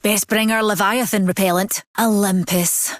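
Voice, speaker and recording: A woman's voice: medium pitch, fairly even intonation, moderate pace, no room sound, studio quality, close to an everyday voice. A woman playing a tender, whimsical space scientist in a video game, with a Scottish accent. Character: gentle, wistful woman space scientist, a mother. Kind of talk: video game character lines.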